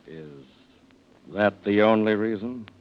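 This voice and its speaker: Low, composed district attorney. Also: male voice, clean tone. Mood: calm, unmoved. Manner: measured, formal, unmoved. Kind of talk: old-time radio drama acting. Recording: muffled vintage radio recording.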